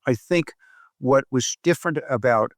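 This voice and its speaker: A man with a mid-pitched voice answers as an expert interview guest. His delivery is measured, formal and technical, and the sound is very dry. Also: no room sound. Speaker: guest, professor of cardiac electrophysiology.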